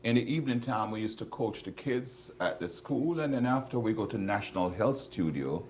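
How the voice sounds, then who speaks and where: A man's voice: fairly low pitch, expressive, moderate pace, slight background noise. Joking Jamaican man hosting. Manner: jokey, laughs often, bursts loud. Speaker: host. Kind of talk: casual chat.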